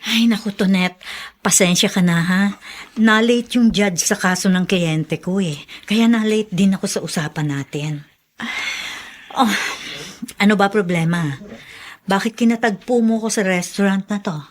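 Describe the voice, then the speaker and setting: A woman's voice: mid-range voice, measured pace, long pauses, close to an everyday voice. A woman playing a blunt, exasperated older sister, a busy professional, in a conversational radio drama style. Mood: apologetic, brisk. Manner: scolding, sighing, conversational. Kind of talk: Tagalog radio drama acting.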